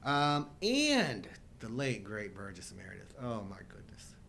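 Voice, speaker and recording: Animated, jokey man around fifty. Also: on the low side, a little slow, gravelly, slight background noise. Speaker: co-host of a live show. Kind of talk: casual chat about films.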